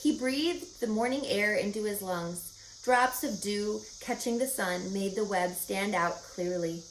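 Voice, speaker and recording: A woman reading a children's story aloud in an expressive, emphatic and explanatory way. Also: mid-range voice, measured pace. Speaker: storybook reader. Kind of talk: animated children's read-aloud narration. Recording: roomy echo with faint background noise.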